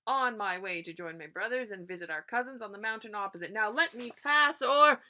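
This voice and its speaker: A woman's voice: medium pitch, a little fast, sharp tone. A woman voicing a huge, bold male goat who taunts his opponent. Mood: taunting.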